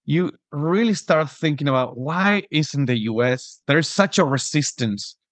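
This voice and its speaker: A man's voice: fairly high pitch, expressive, unhurried, no room sound. Man from Argentina asking searching questions. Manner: searching for words, restarts, rising "right?" tags. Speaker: podcast host. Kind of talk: interview questions.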